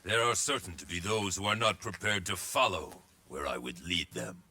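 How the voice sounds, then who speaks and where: A man's voice: sits low, lively intonation, moderate pace, bright, forceful tone, heavily acted. An actor playing a male warlord ruler who speaks in an authoritative, proclaiming way. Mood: commanding.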